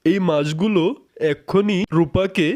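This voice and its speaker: A man's voice: sits high, unhurried, a little mellow. A man voicing a doting, teasing, poor fisherman father in a cartoon. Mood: pleased. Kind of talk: cartoon character dialogue.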